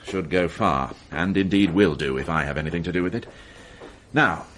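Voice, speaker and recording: A man's voice: low voice, normal speed, fairly bright tone, slight background noise. An actor playing a dry, ironic male police inspector in a radio drama, speaking in a sardonic way.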